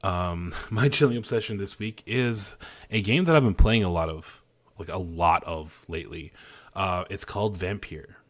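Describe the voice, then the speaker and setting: A man co-hosting a podcast, chatting casually and excitably, with frequent fillers and laughs. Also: sits low, moderate pace, no echo. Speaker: podcast co-host. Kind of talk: casual chat.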